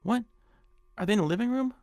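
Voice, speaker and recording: A man's voice: high-pitched, fast, darkish tone. An actor playing a meek, hapless, flustered man in a radio drama, speaking hesitantly with stammers. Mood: puzzled.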